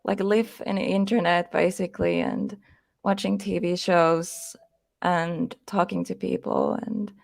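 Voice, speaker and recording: A young woman from Finland talks in English with a clear Finnish accent. Her voice sits low with a gravelly edge, and the sound is close and dry. She chats casually and hesitantly, filling gaps with likes and ums. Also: steady tone, unhurried, no room sound. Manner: hesitant, many fillers, frequent laughs. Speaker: guest. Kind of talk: casual interview chat.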